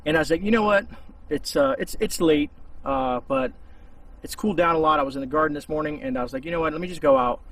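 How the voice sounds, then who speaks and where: A man's voice: on the high side, quick pace. A man hosting a casual, unscripted gear review, talking to camera in a rambling, conversational way outdoors. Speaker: host of a gear review channel. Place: outdoors in a shaded spot. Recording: distant mic with faint outdoor noise.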